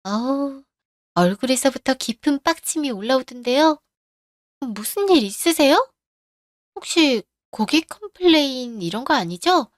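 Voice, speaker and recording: A woman's voice: high-pitched, animated, unhurried, no room sound, clean studio sound. A woman voicing a polite, upbeat young female shop employee in a radio drama. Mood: chatty.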